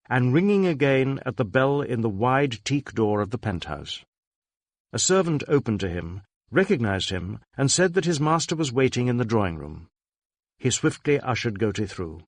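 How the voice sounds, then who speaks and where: A man narrating a detective novel as an audiobook, with measured, dramatic storytelling. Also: medium pitch, dry recording.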